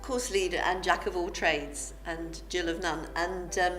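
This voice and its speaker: Lower-voiced middle-aged woman, conversational. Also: fairly even intonation, a little slow, a bit bright, low-quality recording. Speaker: film course leader. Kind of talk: conversational interview, recounting.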